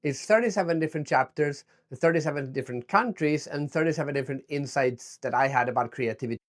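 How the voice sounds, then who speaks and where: A man's voice: on the high side, fast. A man from Sweden, a guest author, answering interview questions in an enthusiastic, reflective way over a video call. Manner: reflective, enthusiastic, with some restarts.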